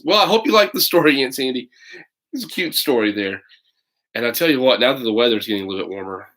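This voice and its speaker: A man's voice: mid-range voice, a bit bright. A man reading a children's picture book aloud, breaking off for casual, folksy asides to his listeners. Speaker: read-aloud storyteller.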